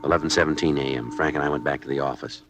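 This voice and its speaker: An American man playing a terse, stoic police detective sergeant who narrates in a clipped, deadpan way, in 1950s radio drama style. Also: a bass voice, racing, music in the background. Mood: matter-of-fact narration.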